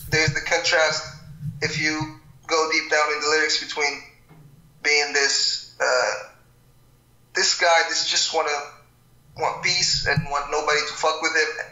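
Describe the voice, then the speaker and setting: Bright-voiced young man from Morocco. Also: on the high side, flat delivery, echoey room, low-quality recording. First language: Arabic. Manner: halting, many repeated words. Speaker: rapper guest. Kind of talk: casual interview.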